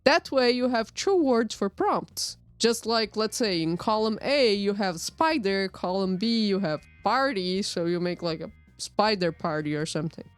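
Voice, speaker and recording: A woman whose first language is Portuguese narrates a drawing video in a relaxed, wry and self-deprecating voice-over. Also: mid-range voice, unhurried, few pauses, clear, resonant tone, no room sound, soft background music. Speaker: artist and channel host.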